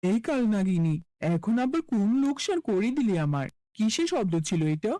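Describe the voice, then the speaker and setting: Woman acting harsh scolding mother, mellow low-edged voice. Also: very animated, moderate pace, no echo, lightly acted. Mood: angry. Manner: sharp, contemptuous scolding. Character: harsh, cruel, scolding middle-aged mother. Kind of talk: cartoon fairy-tale character voice.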